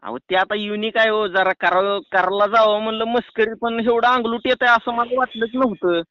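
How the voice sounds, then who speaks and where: High, sharp-voiced rural Maharashtrian man on the phone. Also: little pitch movement, fairly quick, clear, resonant tone, no echo. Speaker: prank caller. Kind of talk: bantering phone argument. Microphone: phone call. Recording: muffled lo-fi phone call recording.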